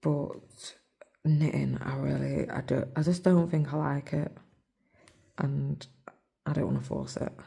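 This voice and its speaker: A hoarse English woman talks in a soft, near-whispered murmur as she chats casually over her stitching. Her low voice stays level and mellow, with a gravelly, husky roughness. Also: fairly even intonation, measured pace, pauses often. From England. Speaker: cross-stitch vlogger. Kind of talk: casual chat while stitching. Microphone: phone.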